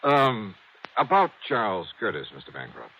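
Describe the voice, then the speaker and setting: An actor with an American accent playing a shrewd, skeptical insurance investigator in a 1950s radio mystery, clipped and wry. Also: male voice, on the low side, wildly swooping pitch, moderate pace, pushed and bright, heavily acted. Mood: probing. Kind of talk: 1950s radio detective acting and narration. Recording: dull vintage radio recording, faint noise.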